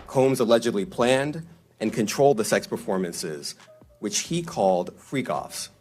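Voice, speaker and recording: A man's voice: lowish voice, expressive, measured pace, a little mellow. A man reading a scripted news narration.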